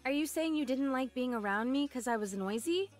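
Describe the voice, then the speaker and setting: A woman's voice: high-pitched, expressive, brisk. A woman playing a brash, easygoing young woman fighter in a video game cutscene, with casual, wry, slangy delivery. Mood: teasing. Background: music.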